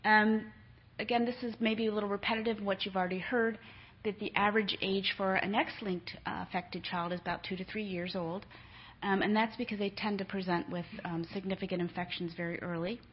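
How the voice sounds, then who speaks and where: A woman's voice: mid-range voice, steady tone, a little fast, breathy edge. A middle-aged woman, a nurse, giving a conversational conference talk to families, with many filler ums. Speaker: nurse speaker. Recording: dull lo-fi lecture recording.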